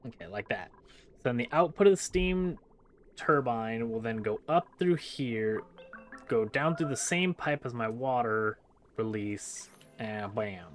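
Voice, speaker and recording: A man's voice: medium pitch, lively intonation, measured pace, slight background noise. A man giving casual gameplay commentary, playfully thinking aloud and breaking into exclamations. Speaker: gaming video host.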